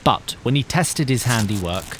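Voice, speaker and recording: A man narrating a fantasy audio drama as a measured, wry storyteller who addresses the listener directly. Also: mid-range voice, lively intonation, very noisy, natural voice. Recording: music bed under narration.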